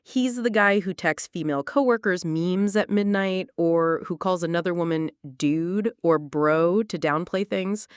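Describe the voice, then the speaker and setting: A woman in her late twenties narrating a personal story in the first person, confessional and lively. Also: fairly low pitch, a little slow, hardly any pauses, smooth, no room sound, clean studio sound. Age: late 20s.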